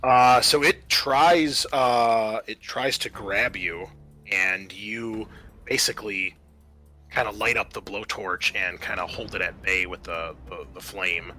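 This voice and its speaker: A man acting as game master, narrating and refereeing a tabletop roleplaying game in an informal, halting way. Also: on the low side, fairly even intonation, sharp tone, soft background music.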